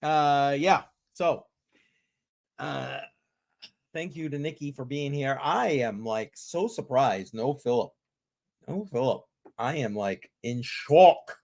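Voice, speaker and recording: A middle-aged man from Massachusetts with a Massachusetts accent, hosting a casual live chat in a relaxed, chatty way. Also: mid-range voice, slow pace, gravelly. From Southeastern Massachusetts. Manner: relaxed, chatty, drinking beer. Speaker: livestream host.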